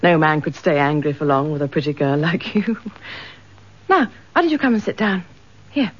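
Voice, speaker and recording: Husky, motherly English woman. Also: low voice, animated, moderate pace. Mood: soothing, coaxing. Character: motherly, coaxing detective's wife, gentle but persistent. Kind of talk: British radio detective drama acting. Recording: dull lo-fi radio drama recording.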